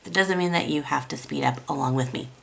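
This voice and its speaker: A woman with a raspy voice gives a chatty, encouraging watercolor lesson. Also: deep voice, fast, fairly bright tone. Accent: American. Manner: encouraging, chatty, self-deprecating. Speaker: watercolor art teacher. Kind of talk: casual tutorial talk.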